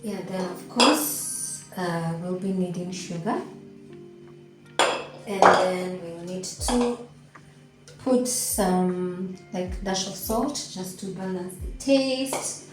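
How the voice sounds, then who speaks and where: A woman and mother vlogging at home in the morning, talking casually through her kitchen with a croaky, deep, just-woken voice. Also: slow pace. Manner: relaxed, chatty, explains as she goes. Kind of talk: casual vlog narration. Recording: roomy echo with faint background music.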